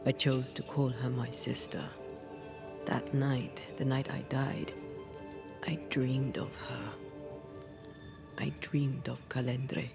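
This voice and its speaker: An actress playing a passionate, brooding ghost woman in a video game, with a breathy, whispery, intimate delivery. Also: very deep voice, level tone, over music, heavily acted. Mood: troubled, confiding. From Cuba (the character). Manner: slow, hushed, deliberate.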